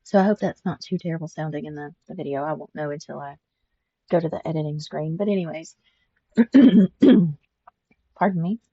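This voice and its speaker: A woman's voice: low-pitched, fairly quick, pauses often, dark tone, lo-fi sound. An older woman, chatting casually about her stitching with many restarts and repeats. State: congested. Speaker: embroidery vlogger.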